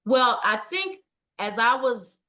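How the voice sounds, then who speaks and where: A woman's voice: on the high side, big pitch swings, takes it slowly, bright, forceful tone. A middle-aged woman guest telling a personal story in a reflective, earnest way. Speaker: guest, writing coach. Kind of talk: casual interview chat.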